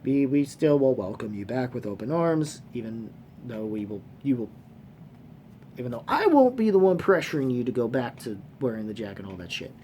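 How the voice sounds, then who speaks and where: A man hosting a casual fan vlog, talking off the cuff in a halting, rambling way, repeating phrases and swearing casually. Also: medium pitch, big pitch swings, dark tone. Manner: casually profane. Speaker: host of a movie trivia fan channel. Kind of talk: casual unscripted vlog commentary. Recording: clearly noisy room sound.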